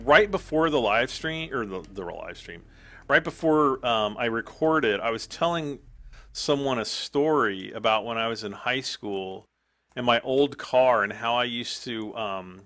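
A man with a hoarse voice giving casual, rambling commentary while playing a game, often clearing his throat. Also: mid-range voice, fairly bright tone. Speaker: gaming YouTuber.

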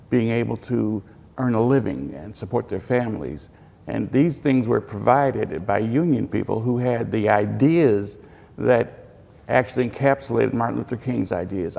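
Gravelly older man, emphatic. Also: mid-range voice, warm tone. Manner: earnest, emphatic, builds to punchy lines. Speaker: museum representative. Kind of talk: interview answers. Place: indoor hall with displays. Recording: hall reverb.

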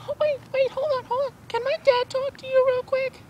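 A man voicing a naive, cheeky nine-year-old girl on a prank phone call, halting and childish. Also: very high-pitched, little pitch movement. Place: outdoors at night. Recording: faint outdoor background noise.

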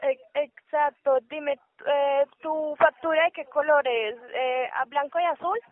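Una mujer teleoperadora que hace una venta por teléfono con un discurso paciente y algo titubeante. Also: female voice, high voice, steady tone, a little slow, no room sound. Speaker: telemarketer selling electricity offers. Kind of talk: sales phone call. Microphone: telephone. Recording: muffled, tinny phone line.

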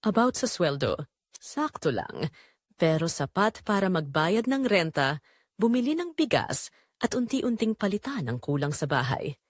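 A woman's voice: on the low side, big pitch swings, measured pace, no room sound, studio quality. A young woman with a Filipino accent narrating a scripted romantic drama in the first person, earnest and reflective.

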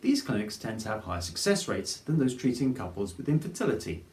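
A man, presenting a scripted explainer to camera in a clear, measured way. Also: medium pitch, sing-song, a little mellow. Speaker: fertility advice presenter. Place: plain studio with grey backdrop. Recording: roomy studio echo.

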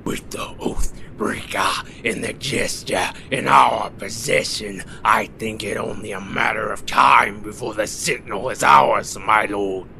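An actor playing a gruff, deferential henchman in a fantasy audio drama. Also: lowish voice, measured pace, hardly any pauses, pushed and bright, slight background noise, full character voice. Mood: dutiful.

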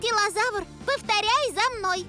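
A sweet little cartoon girl dinosaur. She speaks gently and slowly in a sky-high childlike voice, with clear music behind her. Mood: coaxing.

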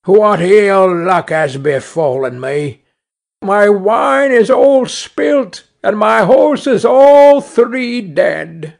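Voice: mid-range voice, animated, drawn-out words, gravelly, pitched above the performer's own voice, full character voice. A man reading aloud and voicing a cruel, hot-tempered carter who cries out in rage and self-pity in a storybook tale. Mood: lamenting. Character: furious, cruel male carter.

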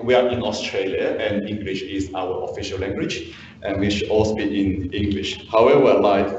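A city councillor speaking with halting restarts and many "like" fillers at a council meeting, as a second-language speaker of English. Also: male voice, mid-range voice, a little mellow, distant mic, lo-fi sound, slight background noise. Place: large formal council chamber. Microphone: far room mic. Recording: echoey chamber picked up from afar.

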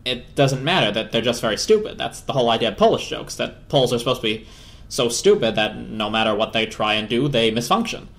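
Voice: medium pitch, lively intonation, a little fast, fairly bright tone, pitched below the performer's own voice. A narrator voicing an eager, chattering, jokey radio in a storybook.

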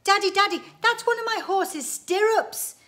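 A woman's voice: very high voice, moderate pace, fairly bright tone, voice pushed up. A woman voicing an eager, whiny young girl in a childlike storybook manner. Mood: wailing. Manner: childlike, wailing.

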